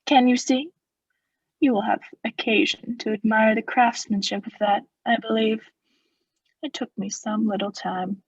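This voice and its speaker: A woman's voice: fairly high pitch, steady tone, unhurried, breathy edge, no echo, dull audio. A woman acting a cold, vengeful murderer in a radio play, speaking with formal, sardonic politeness as he mocks his victim. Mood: mocking, menacing.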